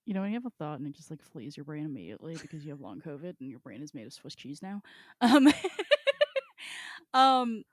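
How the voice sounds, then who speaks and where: Female podcast co-host, lowish voice with very lively intonation, wry and informal. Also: normal speed, no echo, clean studio sound. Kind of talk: casual book discussion chat.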